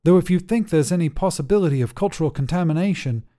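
A brusque rescuer in a space suit, a woman used to giving orders, as a male narrator plays her. The voice sits somewhat high and swings with urgency. It suits an audio science fiction story. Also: expressive, fast talker, mellow, lightly acted. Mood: pointed, official.

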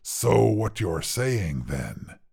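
A very gravelly, husky monster of a dinosaur, huge and carnivorous. It speaks low, with pompous, learned diction and smug self-assurance, as a character in a storybook reading. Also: male voice, very animated, drawn-out words, mellow, full character voice. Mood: smug, scheming. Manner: pompous, learned, formal phrasing. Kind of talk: character voice in narrated short fiction.

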